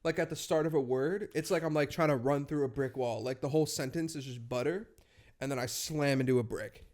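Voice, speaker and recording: A man's voice: mid-range voice, brisk, a little mellow. A young man co-hosting a podcast in casual chat, joking and laughing, sometimes getting stuck on words. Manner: joking, laughs a lot, gets hung up on words. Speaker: podcast co-host.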